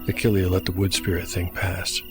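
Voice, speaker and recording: Lowish male fantasy storyteller over music. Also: monotone, fairly quick. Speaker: narrator and solo game master. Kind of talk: scripted narration of a fantasy tabletop game.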